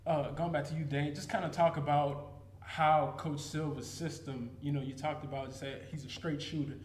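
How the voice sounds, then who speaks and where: A man's voice: fairly high pitch, flat delivery, normal speed, echoey room. A man hosting a college sports show, asking questions in a casual, loose way. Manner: casual, loose phrasing with fillers.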